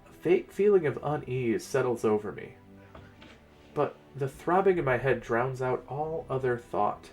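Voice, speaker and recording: A man's voice: mid-range voice, expressive, normal speed, a little mellow, soft background music. A man narrating a first-person fantasy story in a dramatic reading style.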